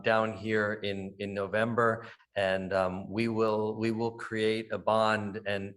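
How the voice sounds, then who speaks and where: A man with a lowish voice wrapping up a discussion with earnest thanks. He speaks warmly to the guests. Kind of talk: closing thanks.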